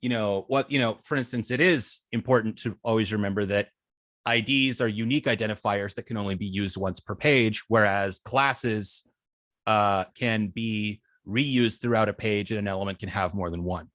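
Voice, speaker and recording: Halting male instructor, dry close sound. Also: on the low side, fairly bright tone, dry recording. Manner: halting, hesitant, many long filled pauses and false starts. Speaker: web design instructor. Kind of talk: informal class Q&A, explaining.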